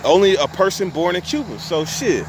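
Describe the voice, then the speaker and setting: A man's voice: sits high, very animated, moderate pace, a little hiss or hum, rough recording. A young man telling a long story to his viewers in casual street slang, cussing and restarting his sentences often. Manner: profane, rambling, street slang; restarts and repeats himself often.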